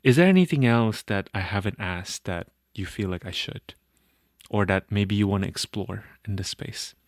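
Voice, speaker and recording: A man hosting an interview podcast, talking softly and affirming his guest often. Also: on the low side, moderate pace, no room sound, clean studio sound. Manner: soft, affirming, lots of mm-hmms. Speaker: podcast host. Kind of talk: interview conversation.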